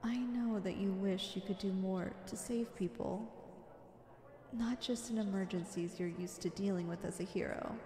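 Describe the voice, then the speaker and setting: In a large, echoing space with long reverb, a woman plays a female supervillain speaks in a theatrical, playful way at a medium pitch. She is flirtatious and teasing, with righteous conviction underneath, in the manner of an ASMR roleplay. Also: steady tone, normal speed, warm tone, natural voice. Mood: earnest, persuasive. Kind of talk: ASMR roleplay, scripted acting. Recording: echoey hall-like reverb.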